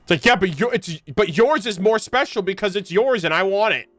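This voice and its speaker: A man's voice: high voice, lively intonation, fairly quick, fairly bright tone, dry recording. A man streaming a game with casual, slangy, joking reactions. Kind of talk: casual live game commentary. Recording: game music behind the voice.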